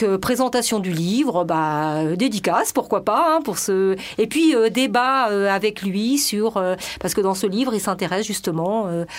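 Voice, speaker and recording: A woman whose first language is Spanish, a guest editor and translator on a radio interview, speaking hesitantly with many filler pauses. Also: medium pitch.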